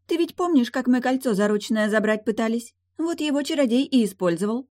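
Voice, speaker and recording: A woman's voice: on the high side, lively intonation, brisk, darkish tone, no room sound, studio quality, pitched above the performer's own voice, natural voice. A woman voicing a young female mermaid in an audiobook, teasing, carefree, sly and haughty, with brash exclamations. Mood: breezy, careless.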